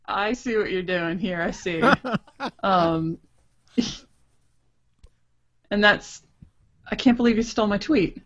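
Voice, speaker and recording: A woman's voice: mid-range voice, slow pace, pauses often. A woman guesting on a casual podcast over an online call with dull, lo-fi audio, talking hesitantly. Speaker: podcast guest, comics artist.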